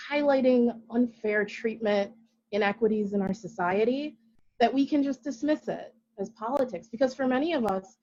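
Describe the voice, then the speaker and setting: A woman's voice: medium pitch, a little mellow, muffled recording. A woman speaking as a round table panelist, making her point emphatically and persuasively. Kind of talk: panel discussion.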